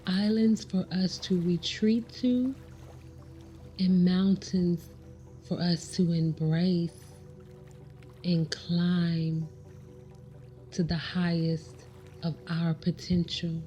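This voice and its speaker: Very slow, mellow woman guiding meditation. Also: on the low side, flat delivery, muffled recording, soft background music. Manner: measured, pausing between short phrases. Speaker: guided meditation leader. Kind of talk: slow guided meditation narration.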